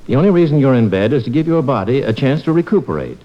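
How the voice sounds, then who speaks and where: A man's voice: medium pitch, animated, quick pace, natural voice. A man playing a firm but kindly middle-aged doctor father in an old-time radio family comedy, reasoning in a paternal way. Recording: muffled, faintly hissy old radio recording.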